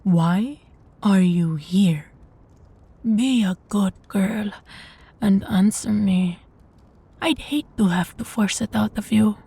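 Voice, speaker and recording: Casting: female actor for a sadistic vampire mistress, unhurried and darkly mellow, possessive and condescending, intimate audio roleplay. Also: medium pitch, exaggerated voice. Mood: quietly threatening. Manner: slow, teasing, condescending, often dropping to a breathy whisper.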